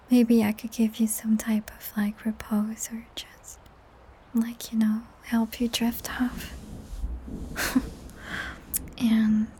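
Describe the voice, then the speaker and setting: Young woman, soft whisper, strained cracked voice, slow and flat, mellow breathy tone, giggly rambling. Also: a little high. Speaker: podcast host and audio creator. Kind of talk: ASMR whispered chat. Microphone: high-end studio microphone.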